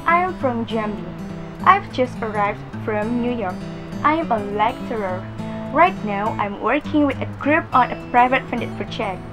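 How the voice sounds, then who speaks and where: A woman speaking scripted English practice lines in a careful, deliberate way. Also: fairly high pitch, lively intonation. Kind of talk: scripted role-play dialogue for language practice. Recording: music playing loudly behind.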